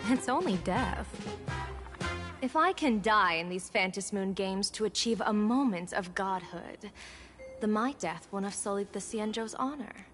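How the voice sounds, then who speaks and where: An actress playing an amused, enigmatic general and diviner in a video game, speaking in a composed, formal, theatrical way. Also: female voice, medium pitch, lively intonation, a little slow, fairly bright tone. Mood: amused, fatalistic. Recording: game music behind the voice.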